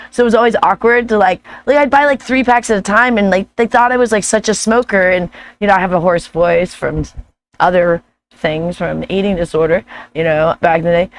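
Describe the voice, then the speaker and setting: A woman with a hoarse, gruff voice chatting casually in her vlog, rambling and laughing often. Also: medium pitch. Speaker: vlogger. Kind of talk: casual chat.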